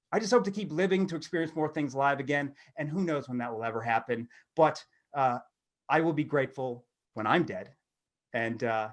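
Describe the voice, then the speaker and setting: A middle-aged American man co-hosting a podcast, talking in an animated, rambling, self-deprecating way, between comic monologue and casual chat. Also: fairly high pitch. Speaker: podcast co-host.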